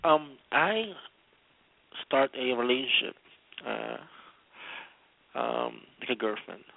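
A man from the Philippines calling in to a radio show, halting and full of fillers. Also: mid-range voice, gravelly, dry recording. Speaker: caller. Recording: tinny phone line.

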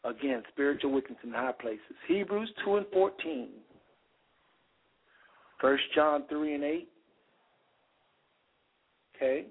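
A man with a slightly raspy voice hosting a radio show, preaching fervently with rhetorical repetition and reading scripture aloud. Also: a little high, lively intonation, slow, long pauses. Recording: phone-like radio call-in sound.

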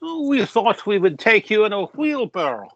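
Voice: mid-range voice, sing-song, moderate pace, fairly bright tone, muffled recording, slight background noise. An actor playing a joking, wisecracking young big brother.

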